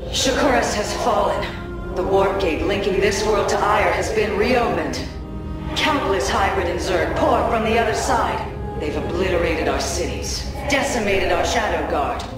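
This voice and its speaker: A proud alien matriarch from a sci-fi game. Her formal, grave delivery echoes from a distance. Loud music surrounds it. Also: mid-range voice, a little slow. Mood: grave urgency. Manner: formal, urgent, dramatic. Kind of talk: dramatic sci-fi game acting.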